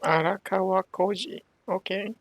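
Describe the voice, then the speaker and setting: High-pitched, gravelly man reacting. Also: fairly even intonation, moderate pace. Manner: incredulous, bantering. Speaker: gaming streamer. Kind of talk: casual reaction commentary.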